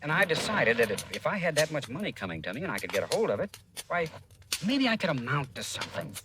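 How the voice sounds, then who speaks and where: Eager young American drifter, noisy recording. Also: male voice, fairly high pitch, very animated, quick pace. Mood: earnest, pleading. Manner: eager, plain-spoken, deferential. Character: earnest, folksy young male drifter pleading his identity. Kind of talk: 1950s American radio drama acting. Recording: noisy old radio recording, clicks.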